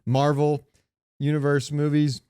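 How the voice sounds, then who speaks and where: A man's voice: on the high side, completely flat delivery, unhurried, mellow, smooth, no echo, studio quality. Clean-voiced man chatting casually. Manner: informal, sweary. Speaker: podcast co-host. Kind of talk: casual banter about films and pop culture.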